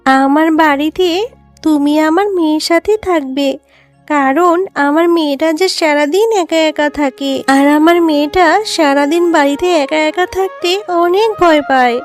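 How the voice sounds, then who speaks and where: A woman voicing a poor, gentle jackal mother in a children's cartoon, with an earnest, plaintive manner. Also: high-pitched, normal speed, faint music behind, lightly acted. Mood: grateful, pleading.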